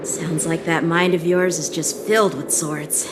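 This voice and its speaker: A woman's voice: sits low, fairly even intonation, unhurried, heavy background noise. A woman voicing an earnest, proud teenage boy swordsman in a video game cutscene. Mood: defensive.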